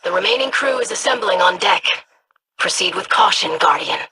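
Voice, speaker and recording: A woman's voice: mid-range voice, expressive, moderate pace, noisy background, close to an everyday voice. A woman voicing a proud young noblewoman in a video game, formal and cutting. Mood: formal, stern.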